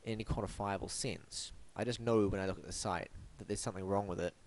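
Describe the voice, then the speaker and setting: A man's voice: on the low side, fairly even intonation, quick pace, a little mellow. Wry, stuffy-nosed man reviewing websites. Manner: wry, theatrical, mock-formal. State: blocked up and sniffly from hay fever. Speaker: web design reviewer, host. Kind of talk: scripted web review monologue.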